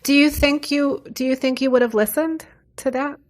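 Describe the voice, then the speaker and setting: A woman's voice: high-pitched, fairly even intonation, normal speed. A woman hosting a podcast, asking questions in a friendly, upbeat way and reading the show's outro. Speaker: podcast host.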